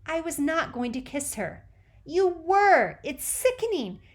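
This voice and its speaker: A woman voicing a bossy seven-year-old girl who scolds loudly and draws out her words. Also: very high voice, sing-song, pitched above the performer's own voice, heavily acted. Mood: shrill scolding. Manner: loud, scolding, drawn-out words.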